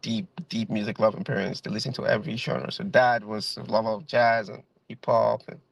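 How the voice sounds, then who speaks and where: A man's voice: on the low side, steady tone, a little fast, gravelly, dry recording. A man in his thirties with a Nigerian accent, a guest violinist chatting over a video call, rambling with lots of fillers and repeated phrases. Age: 30s.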